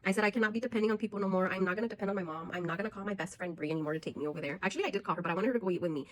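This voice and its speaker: A woman's voice: mid-range voice, rapid-fire. A woman, talking frankly and bluntly to her viewers in a personal vlog, swearing freely. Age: 40s. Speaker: vlogger.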